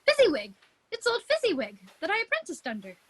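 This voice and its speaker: A woman playing a proud, defensive female miser who softens into regret, in a theatrical radio play recorded over a video call. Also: extremely high pitch, wildly swooping pitch, brisk, full character voice. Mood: fond.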